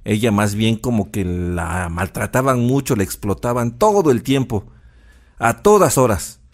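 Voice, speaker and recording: Low-voiced Mexican male storyteller. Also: lively intonation, a little slow. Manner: conversational, sympathetic. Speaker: narrator. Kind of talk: informal storytelling narration.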